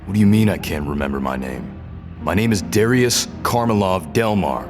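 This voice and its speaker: An actor playing a captive rebel captain in a science fiction audio drama, a man who is guarded, halting and uncertain beneath his defiance. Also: sits low, expressive, music in the background. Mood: shaken, confused. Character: defiant but confused male rebel captain, held prisoner.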